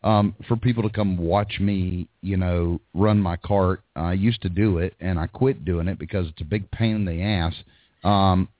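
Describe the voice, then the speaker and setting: A man's voice: on the low side, dry recording. A man hosting a call-in radio show, chatting casually with frequent ums and laughs. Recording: dry, tinny phone-like line.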